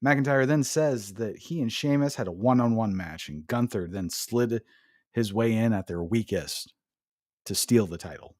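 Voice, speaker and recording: A man's voice: fairly low pitch, moderate pace, no room sound, studio quality. A man hosting a podcast, recapping wrestling in a casual, joking way with swearing. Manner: swearing, laughing recap with jokey put-on voices. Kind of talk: casual chat.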